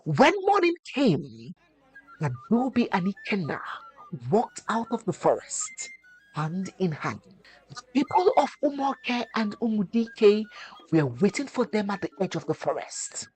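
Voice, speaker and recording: Slow, dramatic woman storyteller with sweeping pitch. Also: sing-song, a little mellow, soft background music, low-quality recording. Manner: deliberate, dramatic, phrase-by-phrase delivery. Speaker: storyteller and channel host. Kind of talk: scripted dramatic folktale narration.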